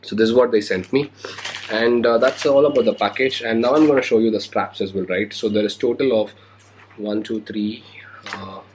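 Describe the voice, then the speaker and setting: A man's voice: lowish voice, fairly even intonation, moderate pace, background noise. Young Indian man with a cold. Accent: Indian English. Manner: hesitant, many fillers. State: recovering from a cold. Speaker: motovlogger. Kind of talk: casual unboxing vlog. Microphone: handheld recorder or camera mic.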